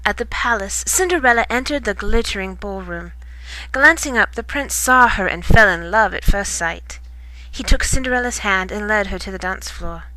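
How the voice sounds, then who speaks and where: A woman reading a children's bedtime story in expressive storybook narration. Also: mid-range voice, dry recording, rough recording.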